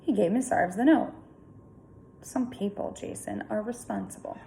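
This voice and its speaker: A woman voicing a brisk, firm female schoolteacher in a children's storybook. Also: medium pitch, dark tone, a little hiss or hum, pitched above the performer's own voice, lightly acted, rough recording. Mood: approving. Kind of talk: children's storybook character reading.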